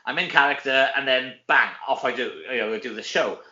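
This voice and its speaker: Welsh guy chatting away in an interview, bright highish voice, dull echoey recording. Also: brisk. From Wales. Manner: chatty, informal, frequent tag questions. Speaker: guest, actor. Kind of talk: casual interview chat.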